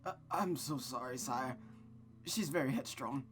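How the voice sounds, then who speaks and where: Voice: medium pitch, unhurried, a bit bright, natural voice. Reader as visual novel father, mild, deferential, hesitant, polite, subdued delivery, guitar music behind. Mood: embarrassed, polite. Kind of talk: visual novel read-aloud character voice.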